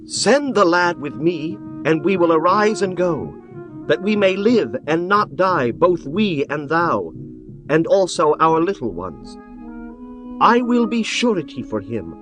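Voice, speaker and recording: A man's voice: a little high, big pitch swings, a little slow. A man voicing Judah, a resolute and persuasive brother, in a dramatized scripture reading. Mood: earnest. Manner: earnest, persuasive. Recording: music bed behind voice.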